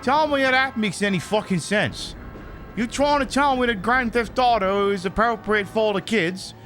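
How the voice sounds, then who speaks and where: A man's voice: high voice, moderate pace, a bit bright, pitched above the performer's own voice. A man streaming a video game in a put-on British accent, voicing a cheeky, foul-mouthed, exasperated bloke who rambles through live commentary with mock indignation. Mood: mock-indignant. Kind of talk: improvised live gaming commentary. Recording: music behind the voice. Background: game music.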